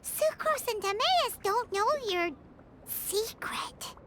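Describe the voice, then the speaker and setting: A woman voicing a small, excitable fairy-like girl companion in a video game, with a chirpy, childlike, chatty manner. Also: extremely high pitch, slow, a little hiss or hum, full character voice. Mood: earnest, insistent. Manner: chirpy, refers to self in third person. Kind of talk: scripted video game character acting. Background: music.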